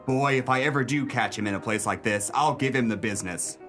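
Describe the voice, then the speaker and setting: A man's voice: mid-range voice, brisk, a bit bright, soft background music, close to an everyday voice. A man playing a wary, hard-boiled police detective in a 1930s pulp radio play, with wry tough-guy talk. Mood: wry, watchful.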